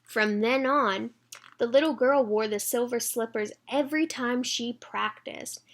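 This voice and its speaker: A woman reading a children's story aloud expressively, engaging young listeners as she goes. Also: on the high side. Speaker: children's read-aloud reader.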